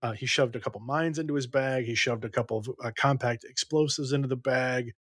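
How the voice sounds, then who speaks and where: A man's voice: medium pitch, a little fast, a bit bright, no echo, studio quality. A man playing a tabletop game, describing what his character does as he thinks aloud. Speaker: player. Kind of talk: casual in-character table talk.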